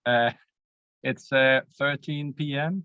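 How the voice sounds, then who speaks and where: A man's voice: mid-range voice, fairly even intonation, takes it slowly, pushed and bright, clear, resonant tone, no room sound, muffled recording. A man from Sweden answering briefly and hesitantly on a video call. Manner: hesitant, with fillers.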